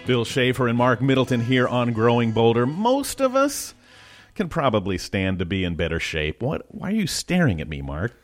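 A man co-hosts a magazine show, reading scripted lines and bantering. His mid-range voice swings up and down with lots of animation, over clear background music. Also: mid-range voice, lively intonation, fairly quick, few pauses. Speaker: show co-host.

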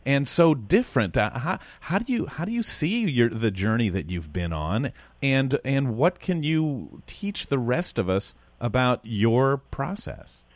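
A man hosting a talk show, asking halting questions of a guest and then reading presenter links. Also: medium pitch, very animated, no room sound. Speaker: radio show host. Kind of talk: interviewing and presenting.

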